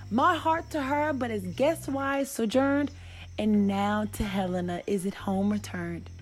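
A woman's voice: mid-range voice, measured pace, soft background music, natural voice. A woman playing a besotted, haughty young male lover in a Shakespearean verse radio play, declaiming grandly. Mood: haughty, dismissive.

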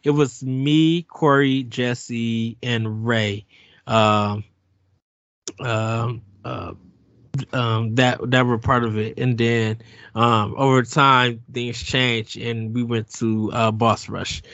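A man's voice: medium pitch, flat delivery, takes it slowly, fairly bright tone, dry recording. Guy in his 40s hosting a podcast, just chatting, bit muffled and dry. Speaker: podcast host. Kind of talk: casual podcast chat.

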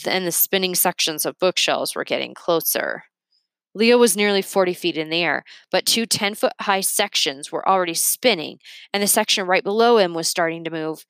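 A woman narrating a children's book aloud in an expressive read-aloud style. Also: fairly low pitch, normal speed, dry recording.

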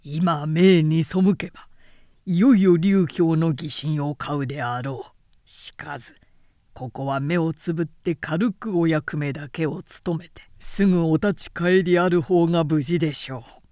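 A woman voicing a prudent, loyal male warrior in an audiobook, grave and measured as a counselor. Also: deep voice, warm tone, no echo, studio quality. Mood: grave caution. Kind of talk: literary audiobook reading.

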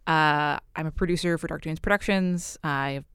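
Fast-talking woman with a Boston accent. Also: low-pitched, fairly bright tone, clear, resonant tone, no room sound. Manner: fast-talking, dry and wry. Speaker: guest, author and podcaster. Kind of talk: casual podcast chat.

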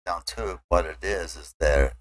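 A man's voice: deep voice, measured pace. A man co-hosting a casual chat, agreeable and chiming in with short replies. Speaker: podcast co-host.

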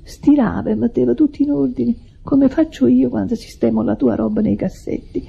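Husky, creaky, devoted lonely sister. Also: female voice, fairly high pitch, normal speed, mellow, full character voice. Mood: tender, nostalgic. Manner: earnest, reproachful, confessional. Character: devoted, plain, lonely sister pouring out hurt. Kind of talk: Italian radio drama acting. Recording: dull vintage radio drama recording.

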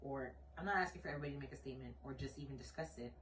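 A woman's voice: rumbling low, racing, a bit bright, a little hiss or hum. A woman in her late twenties from Canada co-hosting a podcast, talking bluntly and emphatically in casual conversation, with lots of "like" fillers. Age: late 20s. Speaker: podcast co-host. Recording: roomy room sound, distant mic.

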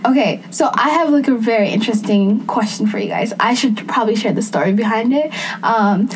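A woman's voice: fairly high pitch, a little fast, slight background noise, poor audio. A woman co-hosting a casual chat, telling a story in a hesitant way with many fillers. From Somali. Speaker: podcast co-host.

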